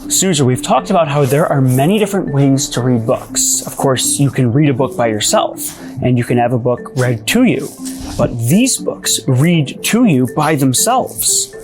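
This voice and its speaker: A woman's voice: rumbling low, expressive, moderate pace. A woman presenting an educational children's show in an explanatory, encouraging manner. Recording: music behind the voice.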